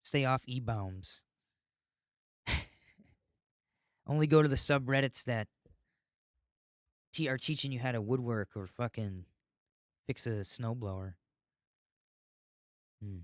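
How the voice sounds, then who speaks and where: A man's voice: mid-range voice, slow, long pauses, no room sound, studio quality. A man hosting a podcast in a casual, rambling monologue, informal and given to ranting and swearing. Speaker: podcast host.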